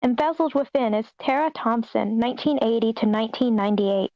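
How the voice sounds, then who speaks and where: A woman reads screenplay narration aloud in a fairly high voice. The sound is dull and close, like a muffled online meeting with little room echo. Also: a little fast. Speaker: reader of stage directions at an online table read.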